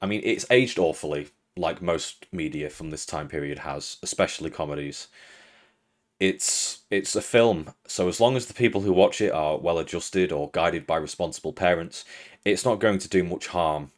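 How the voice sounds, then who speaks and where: A young man with a British accent, his voice sitting very low. He reads a prepared critique as host, steady, earnest and dry in tone. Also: normal speed. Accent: British English. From Britain. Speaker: video essay host. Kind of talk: scripted critical commentary.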